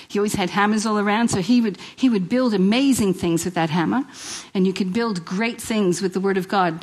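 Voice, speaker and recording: A woman's voice: mid-range voice, low-quality recording. A middle-aged woman with a New York accent touched by Italian and Australian, giving a chatty, exhortative church talk with repeated phrases and rhetorical questions. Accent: New York with Italian and Australian traces. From New York, United States. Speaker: guest speaker at a church women's event. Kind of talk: informal inspirational sermon to a live audience.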